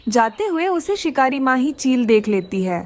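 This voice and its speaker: Woman narrator for children's tales: high-pitched, very expressive melody, scripted storytelling. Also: normal speed.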